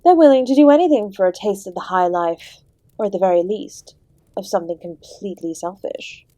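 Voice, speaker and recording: A woman's voice: medium pitch, fairly quick, mellow, dry recording, close to an everyday voice. Woman playing a suave, teasing female Devil, dry close sound. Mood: breezy, worldly. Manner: genteel, teasing, theatrical, calling the listener "my dear". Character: charming, sardonic female Devil, ancient and courteous host. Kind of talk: scripted audio roleplay, addressing the listener directly.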